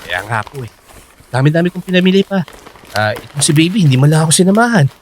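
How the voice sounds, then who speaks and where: Earnest young suitor, swooping pitch. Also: male voice, sing-song, fast, a little hiss or hum. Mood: casual. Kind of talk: Filipino radio drama acting.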